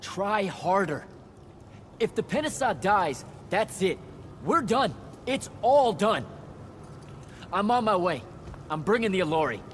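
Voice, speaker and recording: A young video game battlemage, high for a man and very animated. He sounds blunt and urgent, pleading or defiant, over clearly noisy game sound. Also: unhurried, pauses often. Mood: urgent, earnest. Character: young human male hero, brash battlemage, pleading and defiant.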